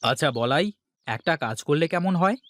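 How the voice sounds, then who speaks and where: A man's voice: on the high side, animated, fairly quick, background noise, natural voice. A man voicing a scheming, boastful village husband in a cartoon, bossy and coaxing in manner. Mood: bossy, planning. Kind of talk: Bengali cartoon voice acting.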